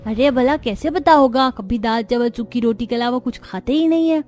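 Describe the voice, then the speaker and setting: A woman plays a hungry young child in a cartoon story. The voice jumps up high and complains with open longing. Soft music sits behind it. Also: moderate pace, close to an everyday voice. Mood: longing, complaining.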